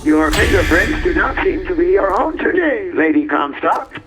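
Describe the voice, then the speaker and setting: An actor playing a courteous mechanical gatekeeper in a game, formal and fawning with visitors. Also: male voice, sits high, big pitch swings, moderate pace, over music. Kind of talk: game character voice.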